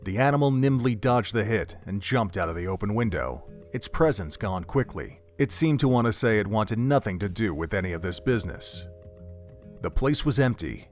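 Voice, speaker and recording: Male story narrator, fairly low voice, measured and literary, music bed. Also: animated. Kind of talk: scripted story narration for an audio drama. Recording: background music under the voice.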